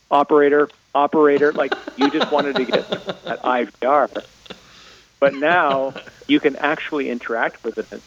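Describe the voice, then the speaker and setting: A man's voice: fairly high pitch, highs cut off. Canadian man, informal radio panel guest. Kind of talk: casual tech discussion.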